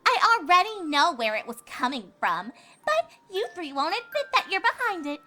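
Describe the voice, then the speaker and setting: A bossy, sneering filly for a cartoon pony story. The voice is very high, sharp and animated, full of haughty disdain, over some background noise. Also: female voice, moderate pace, faint music behind, exaggerated voice. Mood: scornful.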